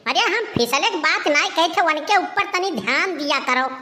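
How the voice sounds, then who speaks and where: A woman's voice: high voice, lively intonation, normal speed, sharp tone. A woman voicing a melodramatic, scolding village woman in a comedy cartoon, with an Awadhi accent from eastern Uttar Pradesh. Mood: teasing, urgent. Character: loud village women, wailing and scolding over a cheating husband.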